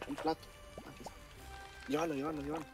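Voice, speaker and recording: A man's voice: a little high, little pitch movement, quick pace. A young man with a Salvadoran accent bantering casually as he plays a video game. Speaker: gamer. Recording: game music behind voice. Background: video game music.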